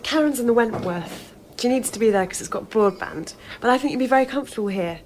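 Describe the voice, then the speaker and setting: An eager young British woman played by an actress from a film crew, her voice fairly high. It is natural radio drama dialogue with faint background noise. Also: lively intonation, fast talker, a little mellow, natural voice. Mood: helpful. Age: young adult.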